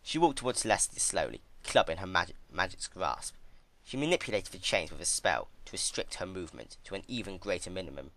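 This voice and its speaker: A man narrates a piece of dark fan fiction as a dramatic reading. His voice sits fairly low but has a forceful, bright edge, and the pitch moves in lively swings. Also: a little fast.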